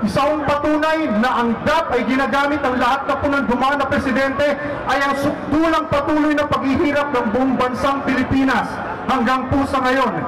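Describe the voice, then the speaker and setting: Male Filipino protest speaker, high raised pitch, emphatic explanatory delivery, far-off reverberant PA. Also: steady tone, normal speed, background noise. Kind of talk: political rally speech. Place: outdoor street rally. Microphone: public address microphone. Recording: echoing loudspeaker heard from afar.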